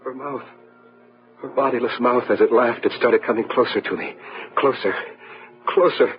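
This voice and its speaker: An actor playing a haunted, overwrought widower in an old-fashioned gothic radio drama, speaking haltingly and repeating himself. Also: male voice, medium pitch, lightly acted. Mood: haunted, horrified. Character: haunted, nerve-shattered widower in gothic horror. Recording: muffled radio drama recording, music behind.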